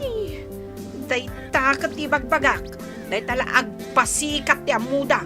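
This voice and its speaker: A woman's voice: high-pitched, a little slow. An actress with an Ilocano accent playing a whiny, envious village woman who grumbles indignantly in a radio drama. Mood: annoyed, stubborn. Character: envious, sulky village woman who grumbles about her rich neighbours. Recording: music bed behind the voice.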